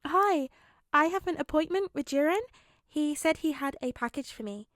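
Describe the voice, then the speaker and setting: A woman's voice: sits high, animated, moderate pace, fairly bright tone, no room sound, clean studio sound, voice pushed up. A woman voicing a small, smug teenage boy villain who speaks with sweet, composed politeness. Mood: sweetly polite.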